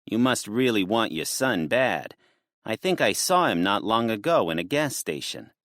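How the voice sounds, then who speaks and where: A man voicing a menacing male mobster boss with an old-movie gangster manner, slow and mocking. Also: on the low side, big pitch swings, pushed and bright, no room sound, clean studio sound, heavily acted. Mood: taunting.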